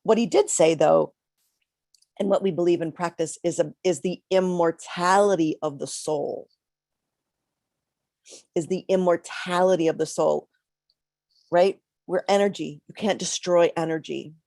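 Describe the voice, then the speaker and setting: A middle-aged woman minister giving a reflective, conversational sermon with pauses, a little congested from a cold. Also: fairly low pitch, gravelly, darkish tone, no room sound, studio quality.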